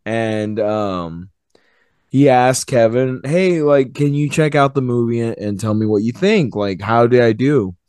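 A man hosting a podcast, chatting casually about movies and swearing now and then, his voice hoarse and partly gone. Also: medium pitch, a little slow, darkish tone, no echo, studio quality. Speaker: podcast host. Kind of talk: casual movie review chat.

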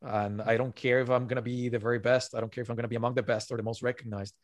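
A man's voice: medium pitch, little pitch movement, racing, no echo. A man who is not a native English speaker, talking earnestly as an author guest on a podcast and repeating his key points. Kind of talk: podcast interview.

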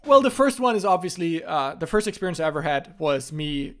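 A young man from Germany, casually telling stories as a podcast host, in a relaxed way with frequent restarts. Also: fairly high pitch, fairly quick.

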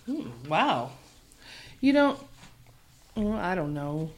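A woman's voice: on the low side, big pitch swings, slow, a little hiss or hum. An actress playing a friendly young female college student from Texas with a Texan accent, talking in a chatty, hesitant way.

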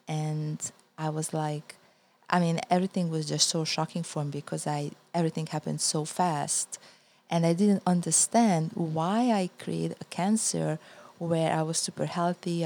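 Low-voiced Hungarian woman, earnest. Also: darkish tone. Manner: earnest, repeats words while searching for them. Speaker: guest healer. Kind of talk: podcast interview.

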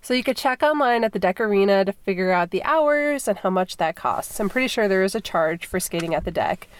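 A woman in her thirties from Minnesota hosts a casual podcast while nursing a cold. Her voice is congested and sits at a middle pitch. She rambles along with fillers and restarts. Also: animated, brisk, smooth. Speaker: podcast host. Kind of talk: casual chat.

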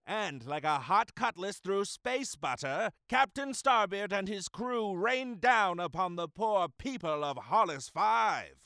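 A man performs a melodramatic adventure narrator for a sci-fi comedy. The voice is high and animated, unhurried and grand, with a dry sound. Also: no room sound, full character voice. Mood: ominous, grand. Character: grandiose storybook-style male narrator of space adventures.

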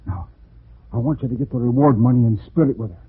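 A man acting a desperate condemned murderer in a 1940s radio drama, husky and halting as he pleads. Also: mid-range voice, animated, a little hiss or hum, lightly acted. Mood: urgent, insistent. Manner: halting, urgent, repeats himself. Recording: muffled, tinny 1940s radio broadcast.

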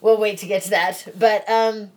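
A woman in her forties hosting a casual podcast, chatty and quick to laugh, with a slightly irritated edge. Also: medium pitch, steady tone. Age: 40s. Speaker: podcast host.

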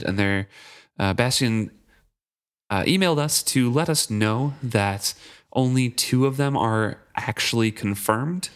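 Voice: medium pitch, clear, resonant tone. A young adult co-hosting a casual book podcast, steadily reading out listener comments and emails with small fillers. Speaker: podcast co-host.

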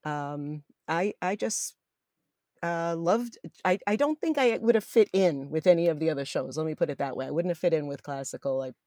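A middle-aged woman with a deep voice for a woman, fondly and enthusiastically reminiscing in an interview. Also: moderate pace, dark tone, no echo, studio quality. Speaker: interviewee, former college radio jazz announcer. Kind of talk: reminiscing in an oral history interview.

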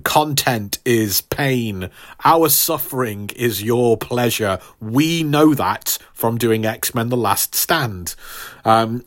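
Emphatic male podcast host. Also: mid-range voice. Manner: mock-grandiose, emphatic. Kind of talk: casual scripted promo talk to listeners.

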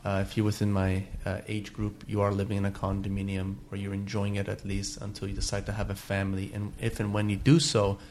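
A young adult man, a guest on a podcast, speaking in a flat, matter-of-fact way. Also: on the low side, brisk, hardly any pauses, a little hiss or hum.

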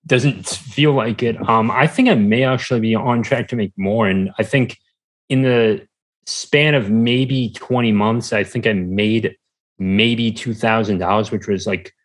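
A man chatting casually as an interview guest, halting and rambling, often repeating words as he goes. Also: on the low side. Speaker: podcast guest, small-business entrepreneur.

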